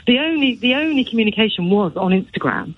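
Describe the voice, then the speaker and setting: A woman's voice: fairly high pitch, expressive, fast talker, dry recording, lo-fi sound. A woman, a showbiz editor, chatting on radio in a gossipy way with many restarts. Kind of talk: casual radio chat.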